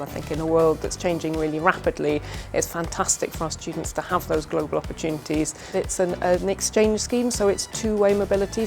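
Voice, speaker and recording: A middle-aged woman, a university leader, speaking in an articulate, enthusiastic and measured way in a conversational interview. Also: on the low side, gravelly. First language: English. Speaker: university vice-chancellor.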